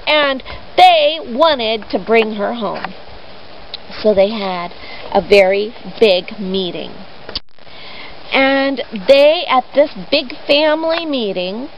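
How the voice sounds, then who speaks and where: A woman storyteller speaks in a fairly high voice that rises and falls freely. She takes her time, stressing important words for drama as if telling a tale to children. The recording is dull and lo-fi, with clear noise behind her. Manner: deliberate, dramatic emphasis on key words.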